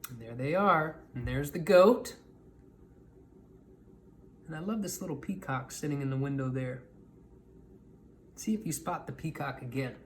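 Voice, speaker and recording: A man reading a children's picture book aloud as a storyteller, in an expressive manner. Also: a little high, measured pace, pauses often, slight background noise. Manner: animated, expressive.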